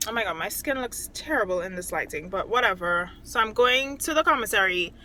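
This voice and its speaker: Bright, chatty young woman vlogging. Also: mid-range voice, expressive, fairly quick, a little hiss or hum, low-quality recording. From Trinidad and Tobago. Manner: chatty, exclaiming often. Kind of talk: casual vlog chat. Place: at home, indoors and in the yard.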